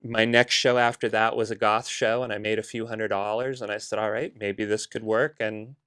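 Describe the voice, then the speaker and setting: Bahamian man telling stories, smooth voice. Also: lowish voice, moderate pace. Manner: relaxed storytelling with frequent "uh" and "you know". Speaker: guest, concert promoter. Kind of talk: casual interview conversation.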